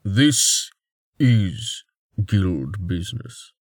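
A man voicing a huge armored golem warrior who talks bluntly and flatly in slow, clipped lines. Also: medium pitch, dry recording, studio quality.

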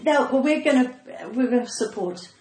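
A woman's voice: high voice, normal speed, a little mellow. Cheeky cut-glass Englishwoman in an echoey room. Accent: British, cut-glass English. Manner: cheeky, self-mocking, theatrical repetition. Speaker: guest, stand-up comedian. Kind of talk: casual bantering interview chat. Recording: echoey room, clear background noise.

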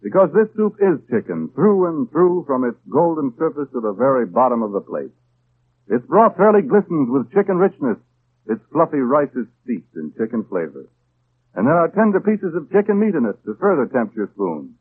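A male radio announcer delivers a sponsor read in 1930s style. He is folksy and persuasive, with very lively intonation, heard through a muffled, narrow old broadcast. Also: medium pitch, moderate pace, breathy edge. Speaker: commercial announcer.

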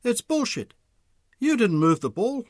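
A man voicing a big, fearless man who speaks loudly and firmly, in a storybook reading. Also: extremely high pitch, sing-song, unhurried, dry recording, studio quality, voice pushed up, exaggerated voice. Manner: loud, firm.

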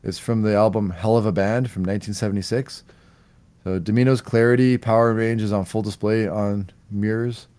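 A man co-hosting a music podcast, presenting a countdown of songs in casual chat, relaxed and laughing now and then. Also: on the low side, fairly quick, smooth. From Canada. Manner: relaxed, laughing, slight stumbles over words.

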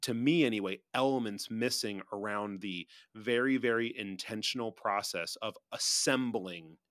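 A man's voice: lowish voice, moderate pace, a bit bright, dry recording, clean studio sound. A middle-aged man in a casual podcast discussion, co-hosting with an earnest, reflective manner that carefully qualifies his points. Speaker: podcast co-host.

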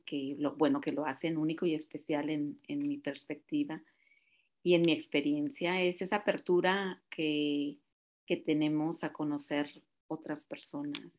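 Middle-aged woman from Mexico, low voice, unhurried and reflective. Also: darkish tone, slight background noise. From Sinaloa, Mexico. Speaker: guest. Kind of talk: reflective conversation.

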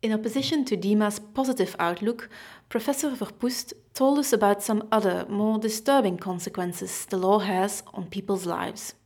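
A woman narrating a documentary from a script, in an even read-aloud way. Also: medium pitch, normal speed.

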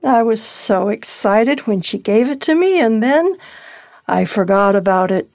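An actress playing a polite, wistful elderly widow in an intimate audio drama, with a gentle, hesitant delivery. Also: female voice, fairly high pitch, lively intonation, a little slow, dry recording, clean studio sound. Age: older.

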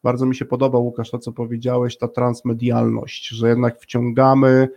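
A man's voice: mid-range voice, completely flat delivery, moderate pace, vocal fry, a little mellow, lo-fi sound. This is a male podcast host with a mid-range voice. He talks in a conversational interview style, wordy and affirming toward the people he is speaking with.

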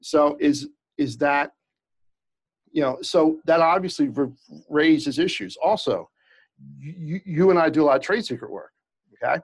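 A man's voice: on the high side, lively intonation, a little slow, slightly rough. A man with a slight stutter, a lawyer explaining cases in casual interview talk with frequent restarts and fillers. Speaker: employment lawyer, guest. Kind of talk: conversational expert explanation.

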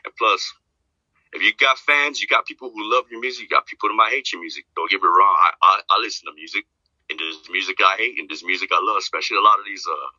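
Deep voiced guy rambling on a podcast, super bright edge, dry dull sound. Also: dry recording. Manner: rambling, repeats himself, filler-heavy. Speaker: podcast guest, rapper. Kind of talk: casual chat.

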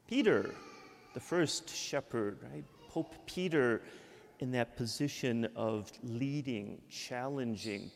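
A Midwestern American man from Michigan preaching a sermon as a priest, talking in a conversational way and often adding "right?". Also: medium pitch. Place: church. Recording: long church reverb.

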